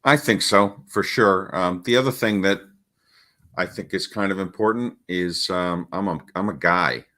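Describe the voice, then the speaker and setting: Middle-aged guy with a really low deep voice, parenting coach chatting, lots of ums and restarts. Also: bright, forceful tone. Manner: halting, many restarts and fillers. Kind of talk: casual interview talk.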